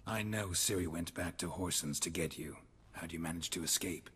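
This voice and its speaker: An actor playing a gruff, stoic monster hunter in a fantasy game, flat and laconic in delivery. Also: male voice, sits low, fairly quick, heavily acted. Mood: dry, matter-of-fact. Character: gruff, stoic monster-hunting witcher, adult man, dry-humored.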